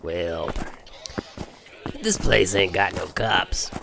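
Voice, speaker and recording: A man's voice: deep voice, very slow, vocal fry, background noise, full character voice. A man playing a folksy, brash but easily flustered space trucker with a Southern US accent in an audio drama. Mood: curious, wry. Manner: drawling folksy talk, blustering. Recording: music behind the voice.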